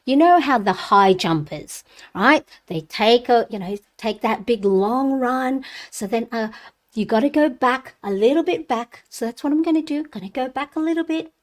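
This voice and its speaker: A woman's voice: fairly high pitch, animated, normal speed. A middle-aged woman from the Philippines, Tagalog her first language, hosting a casual planner live stream in a chatty, rambling way with frequent fillers and light laughs.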